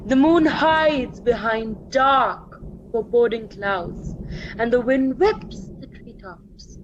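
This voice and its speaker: A woman narrating a scripted radio play in a measured, atmospheric, dramatic storytelling manner. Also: on the high side, a little hiss or hum. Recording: dull lo-fi sound with music.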